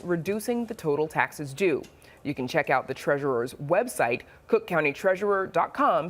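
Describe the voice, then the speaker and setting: A woman with an American accent reading scripted news and hosting studio interviews in a crisp, measured broadcast delivery. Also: lowish voice. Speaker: news anchor and host.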